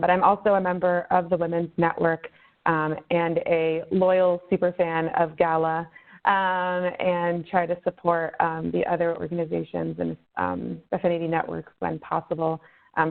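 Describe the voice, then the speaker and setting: A woman in her thirties, a consulting manager, giving a relaxed self-introduction full of fillers on a webinar. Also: lowish voice, vocal fry. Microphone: conference call line. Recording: phone-line webinar audio.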